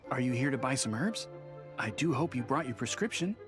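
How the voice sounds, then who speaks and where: An actor playing a polite, wry herbalist shopkeeper in an anime-style video game. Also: male voice, medium pitch, lively intonation, brisk, music in the background, lightly acted. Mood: courteous. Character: chatty, mildly puzzled male herbalist shopkeeper.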